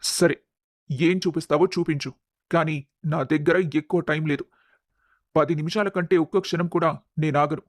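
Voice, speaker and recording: Casting: man to play curt, irate business client in an audio drama, high male voice, dry recording. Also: steady tone, normal speed, long pauses, dry recording, close to an everyday voice. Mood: curt, impatient. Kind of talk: dramatised audio story acting.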